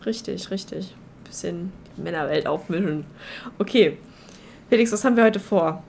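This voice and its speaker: A woman's voice: on the low side, normal speed, gravelly, darkish tone, slight background noise. A young woman co-hosting a podcast in casual chat, with a joking, ironic manner. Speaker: podcast co-host.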